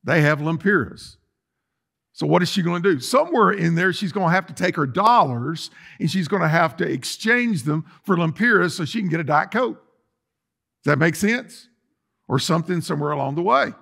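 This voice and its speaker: A man's voice: a little high, expressive, normal speed, vocal fry, fairly bright tone. A middle-aged man preaching as a pastor in a church, explaining things conversationally and putting questions to his listeners. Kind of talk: sermon, conversational teaching. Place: church sanctuary, indoors.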